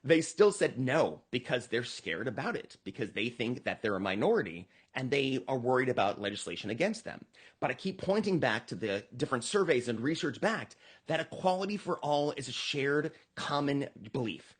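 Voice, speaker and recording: Animated American man, slightly husky voice. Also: mid-range voice, normal speed. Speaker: political candidate being interviewed. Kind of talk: conversational campaign pitch in a podcast interview.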